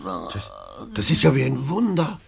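An actor in a radio play playing a chatty, self-assured, cheerful guest with a husky voice and a jaunty, knowing, repetitive way of talking. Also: male voice, a little high, very animated, a little slow, a crowd in the background, heavily acted. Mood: amazed.

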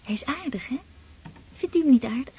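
Breathy, high, lovestruck girl. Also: lively intonation, brisk, a little mellow, highs cut off, heavily acted. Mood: pleading, intimate. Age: young adult. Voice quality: breathy, husky. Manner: girlish, effusive, playful. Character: impulsive, affectionate, lovestruck young countess. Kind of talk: radio drama acting.

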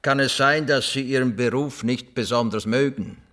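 An actor playing a formal, persistent official who puts terse questions to an applicant in a radio drama. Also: male voice, mid-range voice, steady tone, moderate pace, a bit bright, lightly acted. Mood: insistent, probing. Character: formal, probing official interviewing a job applicant. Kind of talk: naturalistic radio drama acting.

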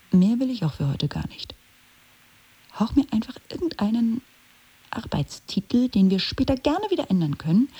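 An actress playing a wry, needy blocked novelist in an intimate, wheedling radio play monologue. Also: female voice, on the low side, vocal fry, dark tone, husky, exaggerated voice. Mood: hushed, pleading. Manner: intimate, wheedling, often dropping to a whisper. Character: blocked female novelist coaxing her absent muse, wry and needy.

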